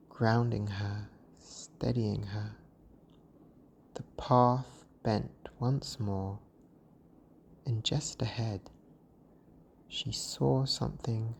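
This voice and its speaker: A storyteller giving a slow, soothing bedtime narration in a gentle, hushed and breathy delivery. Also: medium pitch, slight background noise.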